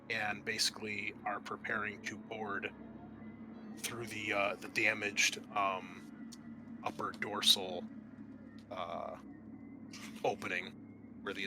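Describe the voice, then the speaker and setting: A man's voice: on the low side, noisy background. A man narrating an improvised tabletop game as game master, hesitating as he searches for words.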